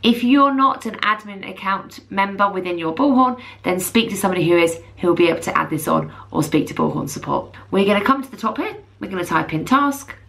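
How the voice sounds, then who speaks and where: A woman in her thirties presenting a software tutorial, explaining plainly step by step. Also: medium pitch, expressive, fairly quick, gravelly, few pauses. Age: 30s. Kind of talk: instructional screen walkthrough.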